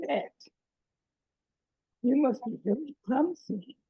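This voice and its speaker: A woman reading dramatic parts as a cashier and a medical examiner in a script read, with a raspy, creaky voice. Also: high-pitched, animated, slow, dry recording, phone-quality sound, heavily acted. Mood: dry.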